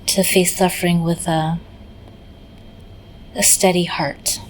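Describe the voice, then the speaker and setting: A woman guides a meditation in a gentle voice on the lower side. Her delivery is very even, with little rise or fall, and she leaves pauses inside her phrases. A light but steady background noise runs under the recording. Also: low-quality recording. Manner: gentle, unhurried, pausing mid-phrase. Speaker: meditation teacher.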